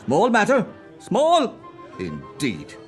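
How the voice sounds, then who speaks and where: High-voiced old miser, man doing him, stammers and quavers, radio play, faint music behind. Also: wildly swooping pitch, takes it slowly, exaggerated voice. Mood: pained. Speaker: amateur radio play actor. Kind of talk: radio drama acting.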